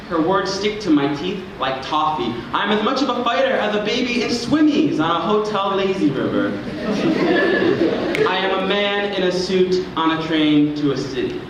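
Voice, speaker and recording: Guy with a high voice doing theatrical poetry, very deliberate, super echoey live recording. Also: recorded from a distance, lo-fi sound. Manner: dramatic, deliberate delivery. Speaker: spoken word poet. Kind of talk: theatrical spoken word performance on stage. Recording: echoey, noisy room recording from afar.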